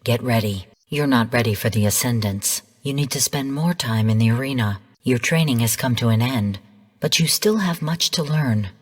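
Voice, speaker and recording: An actress playing a female artificial intelligence mentor in a video game, delivering mission briefings in a calm, stern, measured and formal way. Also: rumbling low, slightly rough, in a large hall. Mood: measured, instructive. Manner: measured, formal, cool, precise. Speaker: game guide and mission narrator.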